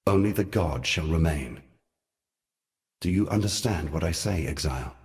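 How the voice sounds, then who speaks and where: A low-pitched, noble god from a fantasy game. He speaks gravely and in lofty, measured phrases, like a mentor guiding mortals. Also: animated. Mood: solemn. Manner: solemn, measured, lofty archaic speech. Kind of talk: dramatic fantasy game voice acting.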